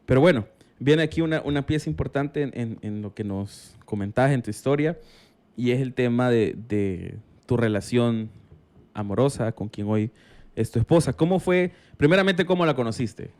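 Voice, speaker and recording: A male podcast host with a mid-range voice. He leads the conversation with curious questions, often backing them with a quick "ujú" or "claro". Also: mid-range voice, expressive, darkish tone. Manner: curious, prompting with short acknowledgments. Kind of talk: casual interview.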